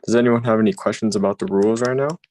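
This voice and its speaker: A man hosting an online game over a video call, talking in a casual, brisk way. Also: on the low side, darkish tone. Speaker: game host.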